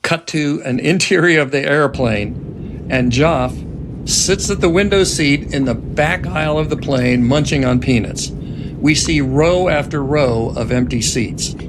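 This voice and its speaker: A man with a gravelly voice reading scene directions as narrator of a dramatic script reading. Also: unhurried. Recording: noticeable background rumble.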